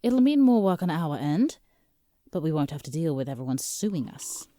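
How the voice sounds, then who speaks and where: British female lawyer, played by an actress, audio comedy. Mellow, close dry sound, businesslike and put-upon. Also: sits low, very animated, normal speed, dry recording. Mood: briefing, matter-of-fact. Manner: formal, explanatory, dry. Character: exasperated, businesslike female lawyer for Santa Claus. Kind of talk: scripted comedic audio roleplay.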